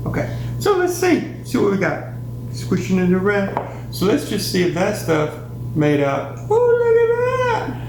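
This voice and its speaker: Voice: medium pitch, vocal fry. Playful presenter in an echoey room. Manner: playful, exclaims with delight. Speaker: science teacher for children. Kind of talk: hands-on science demonstration. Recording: echoey room with steady hum.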